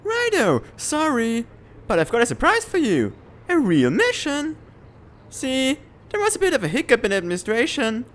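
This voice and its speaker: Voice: high-pitched, huge pitch swings, normal speed. An actor playing a chirpy, scatterbrained academy professor in a comic fan dub, bubbly, rambling and apologetic. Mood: chirpy, excited.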